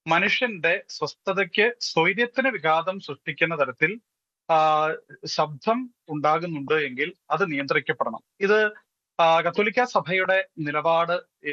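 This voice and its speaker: A man speaking as a priest on a debate panel, explaining in a formal, deliberate, emphatic way. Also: on the high side, bright, forceful tone, no room sound, muffled recording.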